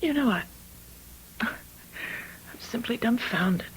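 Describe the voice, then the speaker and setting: An actress playing an anxious, disbelieving woman in an old-time radio mystery, halting and breathy. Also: on the high side, expressive, a little hiss or hum, poor audio. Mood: stunned. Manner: halting, breaking into whispers. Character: anxious, disbelieving wealthy woman, dry-witted, shaken.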